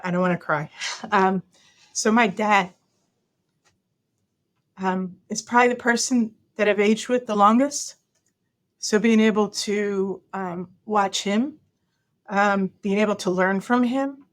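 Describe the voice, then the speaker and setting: A woman in her fifties answering interview questions over a video call from an office, thoughtful and earnest, restarting her sentences often with many ums. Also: medium pitch. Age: 50s. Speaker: guest. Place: office with a large window.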